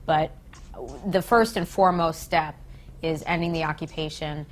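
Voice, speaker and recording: An American woman giving earnest, emphatic political commentary as an activist in an interview, phrasing her points deliberately. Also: sits low, steady tone. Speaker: peace activist being interviewed.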